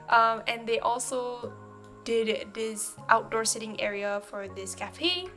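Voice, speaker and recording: A young woman with a slightly high, raspy voice sounds a little short of breath. She chats casually and hesitantly, with frequent ums and restarts, over faint background music. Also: fairly bright tone. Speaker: gaming channel host. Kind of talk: casual game build tour voice-over.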